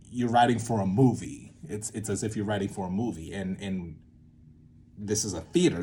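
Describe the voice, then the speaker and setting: Young man, lowish voice, casual halting think-aloud explainer, faint noise behind. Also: darkish tone. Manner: halting, thinking aloud with repeats and self-checks. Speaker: creator teaching a creative method.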